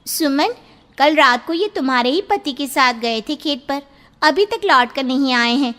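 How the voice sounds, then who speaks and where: A woman's voice: high voice, expressive, a little slow, a bit bright. A woman playing an anxious, scolding village wife in a horror audio story. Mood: worried. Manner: fretful, scolding. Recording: reverberant, faint noise.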